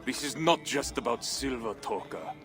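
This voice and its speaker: A man's voice: mid-range voice, animated, normal speed, music in the background, close to an everyday voice. Man playing a grim, vengeful Viking warlord. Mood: stern. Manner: solemn, formal, archaic phrasing. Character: vengeful male Viking warlord, proud, brooding, bloodthirsty. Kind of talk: dramatic video game acting.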